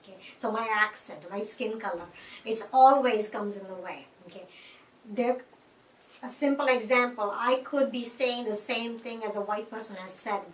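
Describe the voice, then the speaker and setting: A woman's voice: fairly high pitch, moderate pace. A middle-aged woman from India with an Indian accent, speaking in an interview in a reflective, earnest way, with many fillers and restarts. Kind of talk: conversational interview. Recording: echoey room, mic at a distance.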